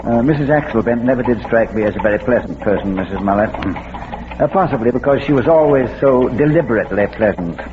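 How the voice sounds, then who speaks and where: Radio drama role: actor as courteous, dryly humorous gentleman, very inflected, thin phone-like old recording with heavy noise. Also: medium pitch, lively intonation, fairly quick, natural voice. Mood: wry, courteous. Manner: hesitant, dryly witty, courteous. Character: genteel, wry bearded gentleman sleuth.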